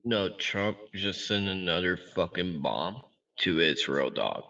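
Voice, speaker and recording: A man on a video-chat link speaks very slowly and offhandedly, with casual profanity. His voice comes from far off the mic and sounds dull and muffled through the call. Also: low-pitched, animated, bright, forceful tone. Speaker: random video chat stranger.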